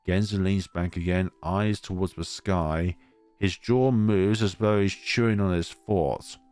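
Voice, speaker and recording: A man's voice: low-pitched, fairly even intonation, measured pace, a little mellow, smooth, no echo. A man reading a visual novel aloud as a let's-play narrator, expressively, with asides of commentary.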